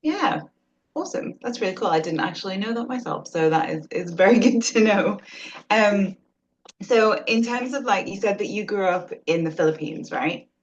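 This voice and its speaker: A woman's voice: medium pitch, expressive, normal speed, slight background noise. A young woman hosting a podcast, chatty and full of "like" fillers as she asks questions. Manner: chatty, fills with "like" a lot. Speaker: podcast host. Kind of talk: conversational interview. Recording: dull lo-fi call audio.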